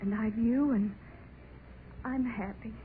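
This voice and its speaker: Earnest high-voiced young bride. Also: female voice, fairly even intonation, takes it slowly, very noisy. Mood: tender. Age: young adult. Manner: breathless, emphatic, sentimental. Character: adoring, earnest young bride, tender and emotional. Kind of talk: 1930s radio drama acting. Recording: thin, muffled 1930s radio recording.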